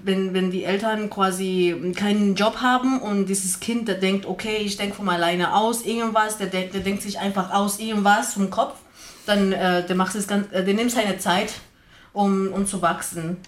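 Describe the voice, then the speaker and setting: A woman's voice: medium pitch, steady tone, normal speed. A woman from Houston, Texas, a guest on a radio panel, speaking German as a with frequent grammar slips and fillers. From Houston, Texas, USA. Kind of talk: casual radio panel chat.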